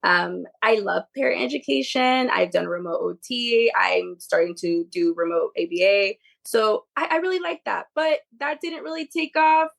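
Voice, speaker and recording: Higher-voiced woman with some vocal fry. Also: moderate pace, hardly any pauses. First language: Spanish. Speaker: podcast guest, behavior therapy tutor. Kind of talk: casual conversational interview.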